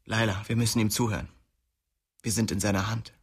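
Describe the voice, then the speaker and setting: Radio crime play: young gang leader losing his grip, rather low voice, guarded and bitter. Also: steady tone, brisk, breathy edge. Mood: tense, guarded. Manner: guarded, accusing. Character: cornered young male gang boss, bitter, wary.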